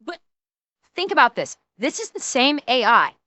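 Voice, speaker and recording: A young woman talks straight to her live chat, indignant and blunt, with the occasional curse. Her voice is high and bright with a smooth, crisp tone. It is recorded close on a desk mic in a dry room. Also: very animated, moderate pace, dry recording. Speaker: streamer. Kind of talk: live stream chat with viewers.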